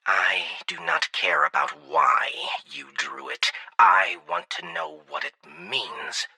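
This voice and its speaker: A man voicing a menacing alien military commander in a sci-fi audiobook, demanding and deliberate, with a threatening growl. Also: mid-range voice, very animated, vocal fry, bright, forceful tone, slightly rough, dry recording, full character voice, rough recording. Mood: deadly serious.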